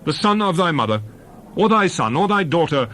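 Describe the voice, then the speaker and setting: A man's voice: fairly high pitch, very animated, normal speed, a bit bright, slight background noise. A man giving a dramatized scripted reading of scripture as narrator, in a solemn, declamatory and emphatic manner.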